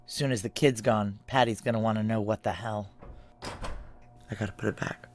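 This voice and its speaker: A woman's voice: very deep voice, fairly even intonation, moderate pace, darkish tone, slight background noise. An actress playing a tough, weary female military chief in a noir audio drama, blunt, clipped and commanding as she acts and narrates. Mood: weary, wry. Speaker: narrator and lead actor.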